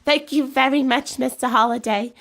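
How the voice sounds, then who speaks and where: A woman's voice: sits high, steady tone, normal speed, fairly bright tone, no room sound. A woman playing a worried, earnest streetwalker in a radio drama, plain-spoken as she talks. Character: worried female streetwalker reporting her friend missing.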